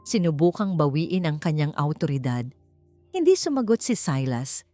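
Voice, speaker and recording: A woman narrates a dramatic audiobook in a fairly low voice. She reads in a measured, scripted way with pauses for suspense, over background music. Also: very animated, dark tone.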